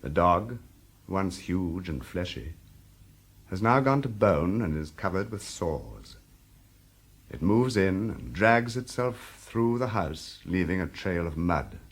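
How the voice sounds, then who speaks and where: A man narrating a scripted story in a measured, theatrical manner, with deliberate pauses. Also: deep voice, expressive, breathy edge. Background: music.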